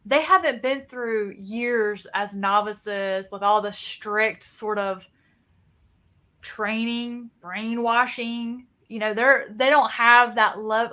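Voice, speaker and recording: Bright, higher-voiced woman chatting animatedly. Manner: animated storytelling, acts out reactions. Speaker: co-host of a book readalong discussion. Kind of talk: casual book chat.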